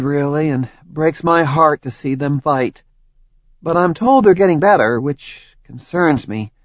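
A male narrator voicing a cheerful, gently teasing young woman with a light, dryly sarcastic manner. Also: medium pitch, dry recording. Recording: dry, slightly dull audiobook recording.

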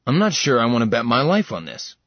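A man's voice: mid-range voice, huge pitch swings, fast, no echo, muffled recording. A man voicing a wry, sardonic dragon shapeshifter who teases dryly. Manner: dry, teasing.

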